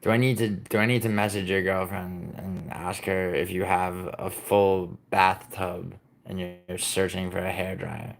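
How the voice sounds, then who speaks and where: A man's voice: low voice, little pitch movement, a little slow, gravelly. A man who was born in Italy and raised in New York, chatting casually on a live stream and answering his viewers' messages in a rambling, profane way, with many fillers and restarts.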